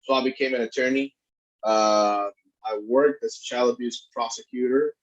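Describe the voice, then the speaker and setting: Man, halting, dull audio. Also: mid-range voice, fairly even intonation. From Puerto Rico. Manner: halting, many restarts and fillers. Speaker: immigration attorney and guest. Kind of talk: conversational interview. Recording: dull lo-fi sound.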